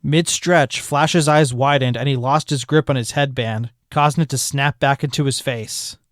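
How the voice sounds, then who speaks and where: A man narrating a fan fiction story with expressive storytelling. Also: mid-range voice, normal speed, no echo. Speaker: fanfic reader. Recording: music bed behind voice.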